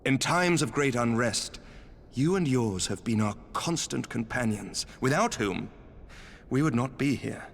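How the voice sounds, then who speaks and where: An actor playing a stern noble leader in a video game, speaking in an archaic, courtly, oratorical way. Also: male voice, medium pitch, expressive, measured pace, vocal fry. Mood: gracious. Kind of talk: video game cutscene acting. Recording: long hall reverb, faint background noise.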